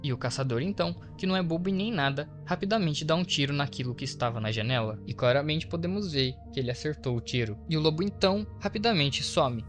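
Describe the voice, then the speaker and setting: A man's voice: on the high side, lively intonation, fast talker, few pauses. A Brazilian man narrating a horror story recap as a video host, explaining it with informal asides. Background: faint to clear background music.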